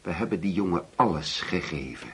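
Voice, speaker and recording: A man's voice: low-pitched, animated, a little fast, breathy edge, heavily acted. An actor playing a bitter, disillusioned middle-aged father in a Dutch radio play, blunt and sceptical.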